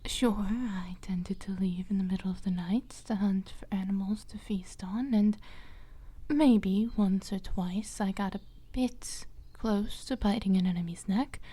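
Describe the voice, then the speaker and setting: A woman voicing a dramatic, affectionate female vampire companion in a soft, breathy half-whisper for a fantasy ASMR roleplay. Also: medium pitch, unhurried. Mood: flustered, embarrassed. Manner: theatrical, hesitant, endearments.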